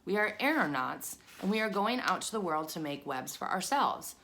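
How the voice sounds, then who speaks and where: A woman's voice: fairly low pitch, very animated, moderate pace, bright, forceful tone, slight background noise, exaggerated voice. A woman voicing a tiny young female spider, eager, friendly and chirpy, in a children's storybook read-aloud. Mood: eager, adventurous.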